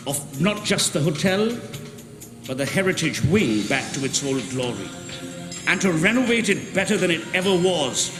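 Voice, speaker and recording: Man reader, slightly high voice, echoing hall, music underneath. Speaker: guest reader. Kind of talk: reading a book excerpt aloud. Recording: roomy hall with music behind.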